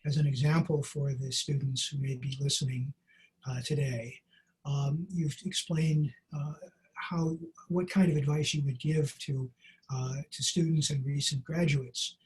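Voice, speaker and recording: An older man interviewing a guest on a video call from a book-lined study, asking questions with halting pauses. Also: on the high side, steady tone, darkish tone, slightly rough, poor audio. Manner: halting, lots of uh fillers. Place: study lined with bookshelves, indoors. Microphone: video call, webcam.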